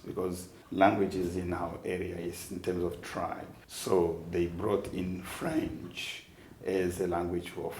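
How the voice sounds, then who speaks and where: A man from Congo whose first language is Chiluba speaks in a very deep, flat voice. He explains slowly, stopping to search for words. The room is echoey, with a faint hiss behind him. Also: gravelly. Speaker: interviewee. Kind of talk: measured explanation.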